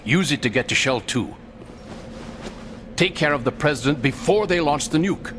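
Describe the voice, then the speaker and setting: An actor playing a secretive, impatient intelligence colonel in a video game, talking in a dry, conspiratorial, explanatory way. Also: male voice, on the high side, expressive, normal speed, background noise, natural voice. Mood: urgent. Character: secretive male intelligence colonel, undercover hostage, knowing and impatient. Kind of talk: dramatic video game voice acting. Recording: game music behind the voice. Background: music.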